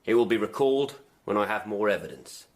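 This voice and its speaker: An actor playing a stern, secretive royal coroner with an English accent, speaking with formal authority in a radio drama. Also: male voice, on the low side, expressive, a little fast, natural voice.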